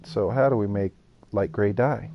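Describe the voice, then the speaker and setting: A man's voice: on the low side, moderate pace, highs cut off, a little hiss or hum. A man streaming a building game live, thinking aloud and chatting with viewers. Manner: thinks aloud while building, talks to chat. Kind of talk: casual live gameplay chat.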